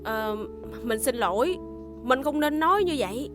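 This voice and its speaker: A woman playing a hot-headed, blunt boy racer in a dubbed animated series. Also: high voice, moderate pace, over music. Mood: remorseful. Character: hot-headed, stubborn boy racer, loyal friend at heart.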